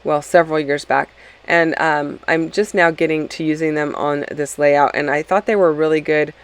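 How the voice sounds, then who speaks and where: A woman's voice: deep voice, steady tone, moderate pace, smooth. Chatty woman with a lingering cold. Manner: rambling, chatty, many ums and self-corrections. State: slightly stuffy from a cold. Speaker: scrapbooking channel host. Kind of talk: casual process-video voice-over.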